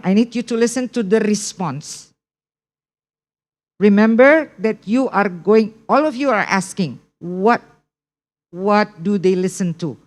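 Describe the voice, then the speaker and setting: A woman's voice: mid-range voice, darkish tone. A woman with an Indonesian accent teaching a test preparation class online, repeating key points and checking in with the class. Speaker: English test prep teacher.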